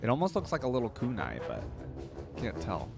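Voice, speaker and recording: A man speaks in a casual reaction style, thinking out loud as he goes. His voice sits at a medium pitch. Music plays clearly behind him throughout. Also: wildly swooping pitch, fairly quick. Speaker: reaction video host. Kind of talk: casual reaction commentary over game videos. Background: game video soundtrack and theme music.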